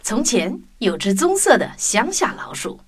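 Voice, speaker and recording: A woman narrating a scripted children's story in an expressive storytelling manner. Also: on the high side, measured pace. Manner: expressive storytelling with sound imitations. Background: music.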